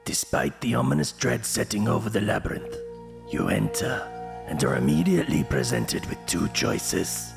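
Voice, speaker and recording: Deep, gravelly, ominous gamebook narrator voiced by a man. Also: sing-song, soft background music, full character voice. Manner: menacing, deliberate, theatrical. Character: ominous gamebook narrator addressing the reader as adventurer. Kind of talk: dramatic fantasy gamebook narration.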